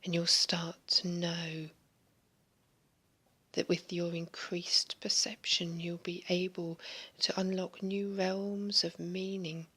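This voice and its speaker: A woman speaks in a slow, breathy, mostly whispered voice, leading a guided hypnosis for relaxation and sleep. Her pitch sits on the low side, and her pace is very unhurried. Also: level tone, sharp tone. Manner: slow, soothing, largely whispered delivery.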